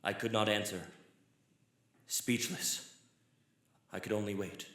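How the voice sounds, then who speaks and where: A man's voice: fairly low pitch, steady tone, slow pace. A man playing a fearful, loyal narrator of an old-time radio horror drama, speaking in a solemn, literary, dramatic way. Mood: haunted, solemn. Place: theatre stage in live performance. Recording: roomy live stage, loud music underneath. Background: loud music.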